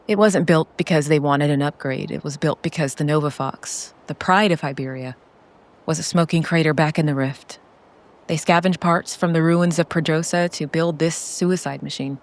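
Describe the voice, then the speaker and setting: A woman narrating a scripted, dramatic solo role-playing story in a solemn, deliberate way with short phrases. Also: deep voice, fairly even intonation. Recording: background music under the voice.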